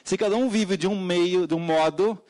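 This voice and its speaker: A man's voice: moderate pace, a little mellow. A middle-aged man from Portugal with a European Portuguese accent, an educator giving formal testimony at a public hearing, with frequent hesitant fillers and sudden emphatic rises. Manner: many hesitant fillers, emphatic pitch jumps.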